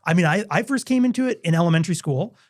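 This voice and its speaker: A middle-aged man co-hosts a casual podcast chat with a slightly high voice. He talks eagerly, restarts sentences and keeps agreeing. The sound is close and dry. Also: expressive, no room sound. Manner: eager, enthusiastic, quick restarts. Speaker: podcast co-host.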